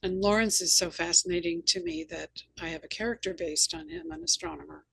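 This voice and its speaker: A woman's voice: fairly low pitch, a little fast, gravelly, breathy edge, no echo, poor audio. A woman hosting a conversational interview, chatting and sharing anecdotes. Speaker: interview host and novelist.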